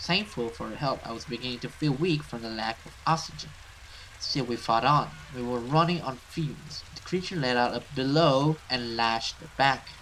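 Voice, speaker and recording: Bright-voiced man reading horror, noisy background. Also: mid-range voice. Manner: stumbles over words and rereads lines. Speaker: let's play host. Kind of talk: reading horror visual novel narration aloud with reactions.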